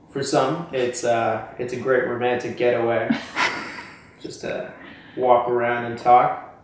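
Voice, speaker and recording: A man with a mid-range voice answers casually and takes his time. He is far from the mic in a very echoey space, giving measured, list-like answers. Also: mid-range voice, darkish tone. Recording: very echoey, distant mic, faint noise.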